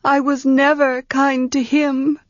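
A woman's voice: high voice, little pitch movement, slow pace, dry recording, voice pushed up. A woman voicing an anguished young woman, proud and guilt-ridden, who talks in a tense, pleading way that breaks with grief. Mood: defiant. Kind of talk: audiobook character reading. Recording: dull, muffled sound.

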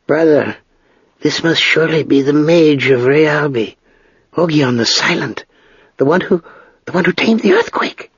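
A man voicing an awed village witch in a storybook, with a hushed, urgent manner. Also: on the high side, lively intonation, unhurried, husky, no echo, lo-fi sound, pitched above the performer's own voice, exaggerated voice.

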